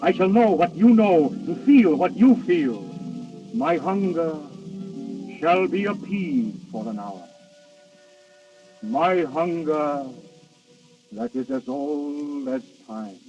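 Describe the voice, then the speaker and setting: A man's voice: fairly high pitch, big pitch swings, slow, pauses often, mellow, exaggerated voice. An actor in a 1930s radio drama playing Death on holiday as a courtly prince, speaking formally and theatrically and savoring his words. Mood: exultant. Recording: dull, hissy 1930s radio recording, faint music.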